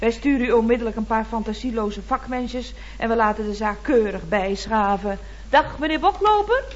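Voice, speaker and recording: Lively, cheeky secretary. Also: female voice, on the high side, normal speed, lo-fi sound. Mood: teasing, mock concern. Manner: mock-polite, playfully teasing. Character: chatty, cheeky female office secretary at a building firm. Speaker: actor in a radio comedy serial. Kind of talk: 1970s Dutch radio comedy acting. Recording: noisy, dated radio recording.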